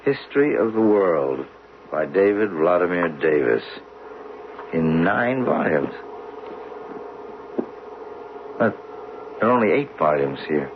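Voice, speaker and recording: A man acting in a 1970s radio drama as a driven, learned geologist, speaking intensely and deliberately with theatrical pauses. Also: deep voice, big pitch swings, exaggerated voice. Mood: puzzled, intrigued. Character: driven, learned geologist obsessed with solving a buried mystery. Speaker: actor in a radio play. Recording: dull, noisy 1970s radio recording.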